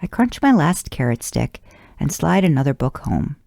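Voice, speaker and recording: A woman narrates a story in the first person. Her voice sits low and mellow, with a creaky edge to it. The sound is dry, with very little room. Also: big pitch swings, normal speed, no room sound. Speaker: podcast host reading fan fiction aloud.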